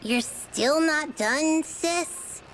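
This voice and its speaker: A woman's voice: high-pitched, very slow, a bit bright, a little hiss or hum, heavily acted. A blunt, scolding girl. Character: bossy little sister-like girl, blunt and scolding. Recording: game audio under music.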